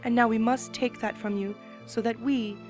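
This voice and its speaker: A solemn pony princess speaks gravely and tearfully, as a woman reads her part, her voice set a little high. Her measured lines sit over soft background music. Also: fairly even intonation, lightly acted. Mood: sorrowful. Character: solemn sorrowful female pony princess ruler. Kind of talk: dramatic reading.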